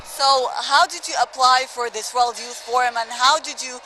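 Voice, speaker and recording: A woman's voice: high-pitched, moderate pace, bright, forceful tone. A woman reporting live and fluently from an outdoor event. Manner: fluent, announcing. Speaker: field reporter. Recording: noisy outdoor live feed.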